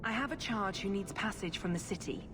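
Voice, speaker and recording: Secretive middle-aged sister, fantasy game, female actor. Measured, formal, coolly persuasive; mid-pitched, long reverb. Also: natural voice. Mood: composed, grateful. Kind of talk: video game voice acting. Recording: long hall reverb, clear background noise.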